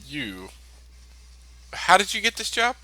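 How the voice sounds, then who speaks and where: A man's voice: high-pitched, huge pitch swings, takes it slowly, bright, forceful tone, slight background noise. A man playing a tabletop role-playing game in casual in-character chat, often stammering and repeating his words.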